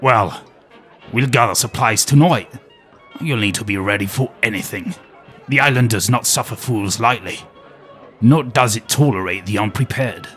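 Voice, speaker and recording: A man's voice: fairly low pitch, moderate pace, fairly bright tone, faint music behind, natural voice. A man playing an aging former nature documentary host with a wry, world-weary, conspiratorial manner. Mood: sly. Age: older.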